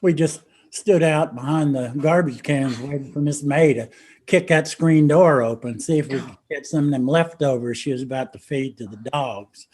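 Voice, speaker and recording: A man's voice: mid-range voice, normal speed, a little mellow, slightly rough, lightly acted. A man acting a wry hill-country brother swapping stories in a stage play, with an Appalachian accent. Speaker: actor in a one-act play. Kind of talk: stage play dialogue, reminiscing.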